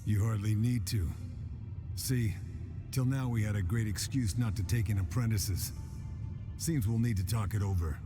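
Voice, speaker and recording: An actor playing an easygoing, level-headed monster hunter in a video game, talking in casual, clipped phrases. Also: male voice, fairly low pitch, moderate pace, long pauses, natural voice. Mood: measured. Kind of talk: dramatic video game dialogue. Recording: game music behind the voice.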